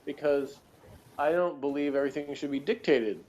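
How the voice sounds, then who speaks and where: A man chatting casually as a review co-host, talking in a wordy, halting, rambling way. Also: a little high, a little mellow. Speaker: podcast co-reviewer. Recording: dull, lo-fi sound.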